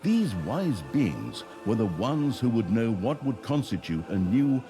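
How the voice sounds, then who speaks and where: A man's voice: medium pitch, sing-song, a little slow. A man narrating a comedic fantasy game story in a theatrical, mock-grand storyteller manner with a wry delivery. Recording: music under the voice. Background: music.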